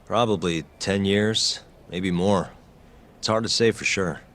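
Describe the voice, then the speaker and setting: Very deep-voiced, determined young lawyer. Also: male voice, measured pace, a bit bright, echoey room, in a large hall. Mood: calm, candid. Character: calm, stubborn young male defense lawyer, earnest, determined. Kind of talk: dramatic video game dub acting.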